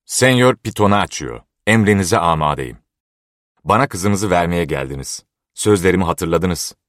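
A man's voice: low-pitched, expressive, normal speed, fairly bright tone, dry recording, clean studio sound. A man voicing a sinister, mocking little old creature of a man. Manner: mocking, sly. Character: sinister little old male goblin-like being.

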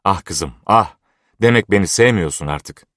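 A man's voice: low-pitched, animated, moderate pace, fairly bright tone, no echo, clean studio sound. A man doing a feverish old clockmaker. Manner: feverish, pleading. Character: obsessed old male master clockmaker, pleading.

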